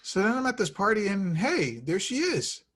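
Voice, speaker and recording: A man acting an excitable young slacker, lovestruck and oblivious. His pitch leaps around as he talks in loose slang, in a comedy table read. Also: moderate pace, heavily acted. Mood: dreamy, earnest.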